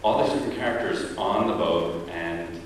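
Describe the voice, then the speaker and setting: A very deep-voiced man from New York, talking reflectively with many ums and pauses. He is far from the mic in a large hall with a long, ringing reverb. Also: slight background noise. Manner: reflective, searching for words with many fillers. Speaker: stage performer on a panel. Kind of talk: post-show Q&A panel talk. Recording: echoey hall, picked up from far off.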